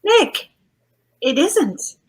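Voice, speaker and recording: A woman's voice: high-pitched, sing-song, very slow, heavily acted. Woman playing an arch, lilting detective's wife. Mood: alarmed. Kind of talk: 1940s radio drama acting.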